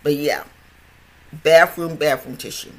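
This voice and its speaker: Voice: mid-range voice, fairly even intonation, a little slow, a bit bright. An older podcast host with a stutter, talking in a chatty, rambling way with many restarts and repeated words. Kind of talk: casual health podcast monologue.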